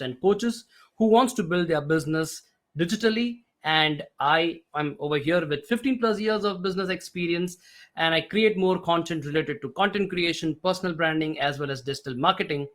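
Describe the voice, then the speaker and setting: A man's voice: a little high, moderate pace, fairly bright tone. A middle-aged man hosting a podcast, introducing his guest in an eager, admiring way with slightly formal phrasing. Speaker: podcast host.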